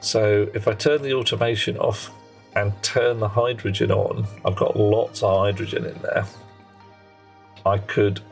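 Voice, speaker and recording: A man's voice: fairly low pitch, fairly even intonation, a little slow, vocal fry. A man streaming a game live, chatting with viewers in a rambling way and often correcting himself mid-sentence. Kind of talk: casual live gameplay commentary. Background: faint music and a child playing nearby.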